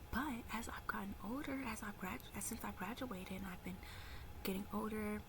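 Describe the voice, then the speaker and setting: A woman in her early twenties, mostly whispering as she tells a personal faith story in a soft, confiding way. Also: mid-range voice, normal speed, background noise. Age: early 20s. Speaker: vlogger.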